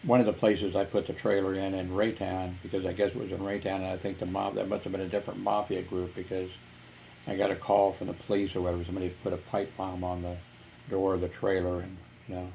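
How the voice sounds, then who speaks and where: A man's voice: sits low, little pitch movement, quick pace, gravelly, dark tone, slight background noise, poor audio. A man telling personal stories in a rambling vlog, filling gaps with "you know" and chuckling. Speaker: YouTuber. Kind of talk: casual storytelling vlog.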